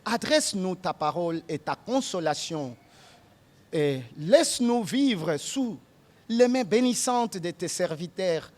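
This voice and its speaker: A man with a Congolese accent leading a solemn prayer at a memorial gathering, speaking in measured phrases; his first language is Tshiluba. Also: high voice, animated. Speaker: master of ceremonies leading prayer.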